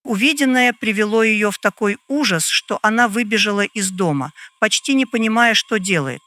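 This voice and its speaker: A man voicing a gaunt, stern middle-aged landlady in an audio drama, guarded and measured in her speech. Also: high-pitched, bright, forceful tone, voice pushed up. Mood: guarded, grim.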